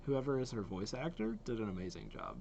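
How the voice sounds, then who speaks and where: A man's voice: lowish voice, wildly swooping pitch, fairly quick, creaky, a little mellow, a little hiss or hum. A young man giving casual running commentary as a reaction host, musing aloud in an informal, hesitant way. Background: game trailer music.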